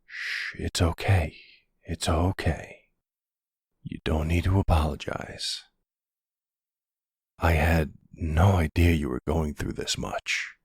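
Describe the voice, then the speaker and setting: A man acts out a silver-fox mafia boss in an intimate ASMR roleplay. His voice is extremely low and husky, and he takes his time over each soothing phrase. He is tender and protective, heard close and dry. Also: fairly even intonation, dry recording, heavily acted. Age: middle-aged. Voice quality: gravelly, husky, breathy. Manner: soothing, unhurried, full of pet names.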